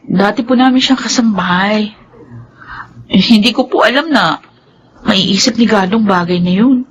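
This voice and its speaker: An actress playing a meek, sorrowful, respectful wife in a radio drama. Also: female voice, medium pitch, normal speed, natural voice. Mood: apologetic, sorrowful. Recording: dull, faintly noisy radio drama track.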